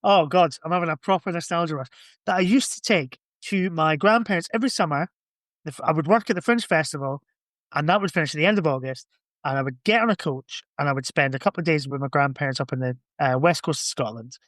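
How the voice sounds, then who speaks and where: A man talks in a fairly high voice, close and dry, as if on a podcast. His delivery is informal and reflective, with an enthusiastic edge to the casual chat. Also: quick pace, a bit bright, dry recording. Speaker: podcast speaker.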